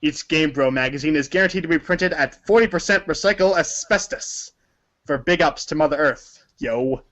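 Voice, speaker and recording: A man's voice: fairly high pitch, normal speed, fairly bright tone, lo-fi sound. A man narrating a story aloud in a theatrical, dramatic and emphatic manner. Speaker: narrator of a group reading.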